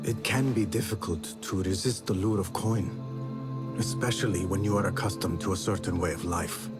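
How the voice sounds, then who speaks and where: An actor playing a calm, earnest young assassin in a video game cutscene. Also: male voice, deep voice, normal speed, natural voice. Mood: solemn, gentle. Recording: loud music behind the voice.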